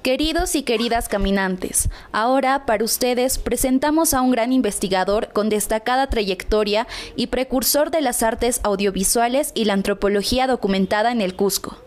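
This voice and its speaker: A woman's voice: a little high, normal speed, few pauses. A woman, presenting and narrating from a script as a podcast host.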